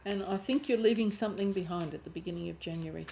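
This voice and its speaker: A woman with a croaky voice and a lingering cough giving an intuitive tarot reading, thinking aloud and often restarting her phrases. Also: on the low side, quick pace, dark tone, slight background noise. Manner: musing aloud, restarting phrases, clearing throat. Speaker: tarot reader.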